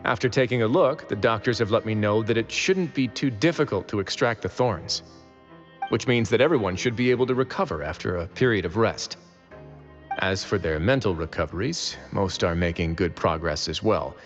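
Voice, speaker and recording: A man acting a composed, wry adult male warden in a video game, speaking in a measured, matter-of-fact way. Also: lowish voice, in a large hall, lo-fi sound, soft background music, natural voice.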